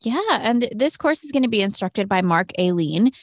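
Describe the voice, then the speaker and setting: A woman's voice: mid-range voice, lively intonation, moderate pace, no room sound, studio quality. A woman hosting an interview, asking questions and responding enthusiastically. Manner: enthusiastic, affirming. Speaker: interviewer, host.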